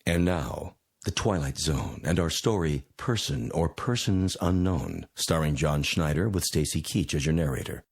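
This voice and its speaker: A male announcer reads a scripted programme introduction. His voice is extremely deep and rough-edged, with a husky grain. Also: moderate pace, hardly any pauses.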